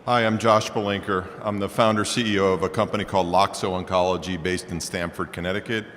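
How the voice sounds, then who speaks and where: A man, a biotech founder and CEO, giving brisk, matter-of-fact facts about his company on a panel. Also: deep voice, steady tone, a bit bright. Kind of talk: panel introduction. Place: conference hall. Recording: long hall reverb, faint room noise.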